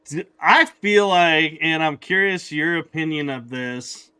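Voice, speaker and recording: Easygoing man with a bright, smooth voice. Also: on the high side, lively intonation, a little slow. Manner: easygoing, rambling, with restarts. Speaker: podcast host. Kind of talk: casual podcast chat.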